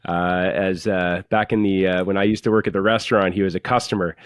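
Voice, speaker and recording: A man's voice: deep voice, steady tone, fairly quick, clean tone, dry recording. Man hosting, dry close sound. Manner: rambling, hesitant questions full of fillers. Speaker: podcast host. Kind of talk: casual interview hosting.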